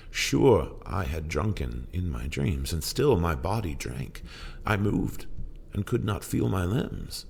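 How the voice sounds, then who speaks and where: A man's voice: rumbling low, vocal fry, slight background noise, full character voice. A man voicing a haunted old sailor in a solemn, theatrical recitation of narrative verse. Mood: solemn, dreamy, then haunted, intense. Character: haunted old male sailor recounting ghostly ordeal at sea. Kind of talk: dramatic poetry recitation. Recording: music under the voice. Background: plucked guitar music.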